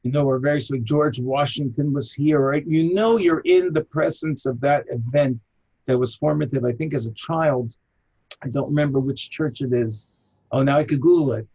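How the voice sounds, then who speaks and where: An American man from Chicago tells long, animated anecdotes as an interview guest, his pitch jumping up when he gets excited. His voice is husky and breathy, at a middle pitch. It comes through a dull, muffled, lo-fi line. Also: moderate pace. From Chicago, USA. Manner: animated anecdotal storyteller, lively jumps in pitch. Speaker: rabbi and archaeologist guest. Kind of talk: storytelling interview answers. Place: home in an old city, remote call.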